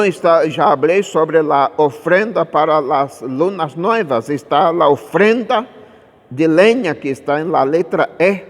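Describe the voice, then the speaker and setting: Male preacher, fairly high voice, admonishing sermon delivery blending Portuguese and Spanish, strongly echoing hall sound. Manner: mixes Portuguese and Spanish as he speaks; admonishing, insistent, with rhetorical questions. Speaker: pastor preaching. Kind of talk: sermon with slow scripture reading and exposition. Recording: long hall-like reverb.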